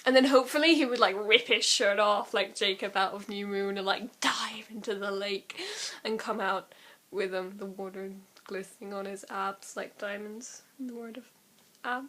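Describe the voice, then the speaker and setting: A woman's voice: medium pitch, steady tone, normal speed, fairly bright tone. A young woman in a casual, rambling vlog chat, giggly and prone to laughing at herself as she does impressions. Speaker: amateur vlogger doing impressions.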